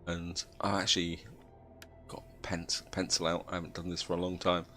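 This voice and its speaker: A man with a cold and a slightly croaky voice, calmly explaining his moves as he plays a strategy game. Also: deep voice, moderate pace, over music. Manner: steady, explanatory, thinks aloud. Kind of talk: gameplay commentary.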